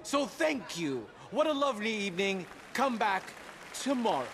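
An actor playing a flamboyant, grandiose master of ceremonies addressing a theater audience in a video game. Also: male voice, sits high, very animated, moderate pace, fairly bright tone, some chatter behind, slight background noise, exaggerated voice. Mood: grandly wistful. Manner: grandiose, effusive. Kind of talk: stage showman's address.

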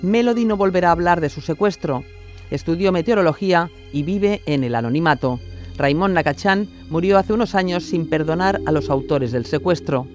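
A man's voice: fairly high pitch, expressive, moderate pace, soft background music. A man reading scripted narration for a true-crime audio documentary.

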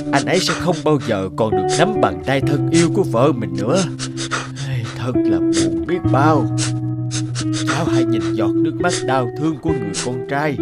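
A man voicing a gentle old guardian spirit. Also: mid-range voice, natural voice. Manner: gentle, patient, fatherly. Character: kindly old male family guardian spirit. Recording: studio voice-over with loud music.

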